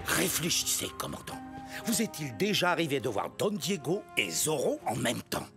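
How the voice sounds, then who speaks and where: A man voicing a scheming, greedy landowner villain in an animated cartoon, with a gravelly, theatrical and insinuating delivery. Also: mid-range voice, moderate pace. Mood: insistent. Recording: background music under the voice.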